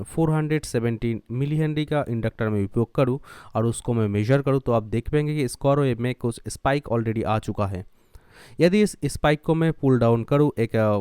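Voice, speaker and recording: A man's voice: mid-range voice, darkish tone, smooth, no room sound, clean studio sound. A man narrating a do-it-yourself tutorial step by step, mixing English terms and phrases into his speech. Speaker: electronics tutorial host.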